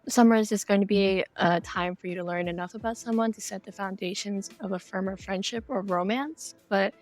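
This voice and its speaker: A woman speaking as a guest game developer in a casual podcast interview, explaining things conversationally. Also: medium pitch.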